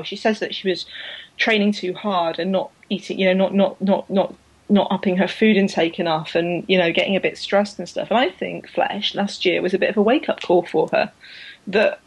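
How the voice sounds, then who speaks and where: A woman co-hosts a casual podcast about racing, her voice a little husky. She talks in quick, chatty bursts, breaks into laughter and restarts her sentences often. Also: fairly low pitch, steady tone, rough recording. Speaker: podcast co-host. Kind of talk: casual chat about women's cycling.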